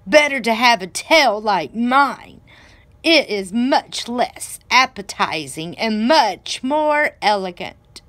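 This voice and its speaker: A woman's voice: on the high side, animated, slow, a bit bright. A boastful donkey from a children's storybook, acted out by a woman. He teases and sneers in a haughty, slightly raised voice, taking his time over each jab. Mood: haughty, mocking. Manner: teasing, haughty. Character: boastful, mocking male farm donkey in a storybook.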